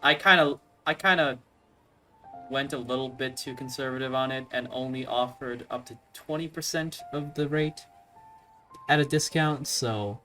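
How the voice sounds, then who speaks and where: Male let's player: mid-pitched, bright tone, casual slangy think-aloud delivery, light music in the background. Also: fairly even intonation, normal speed. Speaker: let's play host. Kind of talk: casual game commentary.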